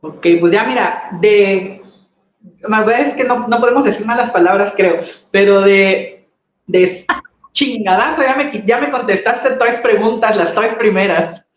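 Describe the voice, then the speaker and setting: A man's voice: high voice, unhurried, a little hiss or hum. A middle-aged man hosting a casual video-call interview, playful and teasing. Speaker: host. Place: small room indoors. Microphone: webcam on a video call. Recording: dull, roomy webcam sound.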